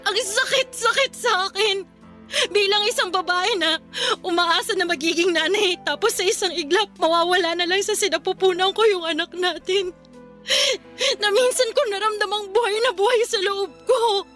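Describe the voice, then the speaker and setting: Radio drama: irritable grieving wife, very high-pitched, sharp, emotional. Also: female voice, normal speed, faint music behind. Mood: anguished. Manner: emotional, snappish delivery. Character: bitter, grieving young wife, irritable and despairing.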